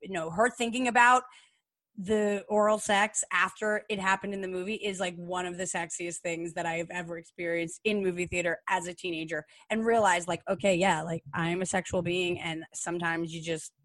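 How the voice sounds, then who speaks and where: An American woman from New Jersey chatting casually and explicitly as a podcast co-host, gushing, excitable and prone to rambling. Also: fairly low pitch, a little fast, dry recording. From New Jersey, United States. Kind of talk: casual, explicit pop-culture chat.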